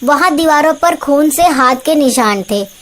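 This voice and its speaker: A woman's voice: high voice, lively intonation, moderate pace, fairly bright tone. A woman reading scripted narration for a horror story, as the narrator.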